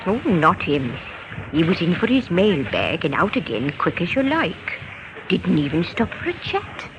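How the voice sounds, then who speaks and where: An actress playing a chatty, eager village postmistress in a vintage radio drama. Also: female voice, lowish voice, a little slow, over music. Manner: talkative, helpful, expressive. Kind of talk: 1950s radio drama acting. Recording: muffled, noisy old radio recording.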